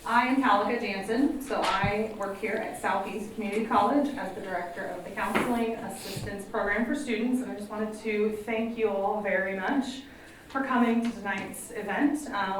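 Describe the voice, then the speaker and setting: A woman's voice: medium pitch, distant mic, background noise. A woman who directs a college counseling program, welcoming an audience and introducing speakers in a friendly, slightly apologetic way. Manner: friendly, apologetic, reads prepared bios. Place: college event room. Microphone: handheld microphone. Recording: very echoey room, voice from afar.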